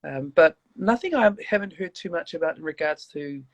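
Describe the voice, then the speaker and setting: A woman from New Zealand with a New Zealand accent, chatting as an interview guest on a video call, warm and rambling with many restarts. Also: sits low, lively intonation, normal speed, no room sound. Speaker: guest, church leader. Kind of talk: casual interview chat.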